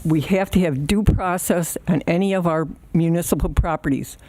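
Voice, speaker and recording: An older woman, in her sixties or older, with a soft voice, speaking haltingly and informally at a public meeting. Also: fairly low pitch, low-quality recording. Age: 60s or older. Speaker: resident giving public comment.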